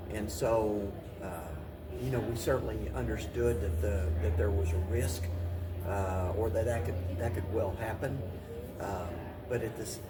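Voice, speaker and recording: A man speaking as an interview guest and city mayor, giving halting, measured answers and often repeating phrases. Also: fairly low pitch. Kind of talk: talk show interview answers. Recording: distant mic, clearly noisy room.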